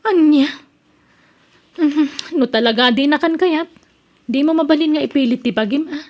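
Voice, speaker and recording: A woman acts a meddling big sister in a family melodrama. She has a high voice. She talks in a chatty but pointed way, never shy with her opinion. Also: normal speed, a little mellow, lightly acted. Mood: advising, reproving. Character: outspoken older sister, meddling in her brother's marriage. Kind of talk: radio-style melodrama acting.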